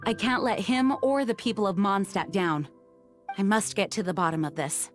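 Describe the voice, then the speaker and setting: A woman's voice: medium pitch, normal speed, lightly acted. A woman voicing a resolute, dutiful knight commander in a video game, formal and earnest. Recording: loud game music underneath.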